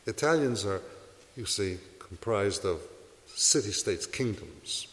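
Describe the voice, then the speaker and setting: Earnest low-voiced man preaching. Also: lively intonation, takes it slowly, fairly bright tone, long reverb tail. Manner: earnest, exhorting, asks rhetorical questions. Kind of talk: sermon to a congregation.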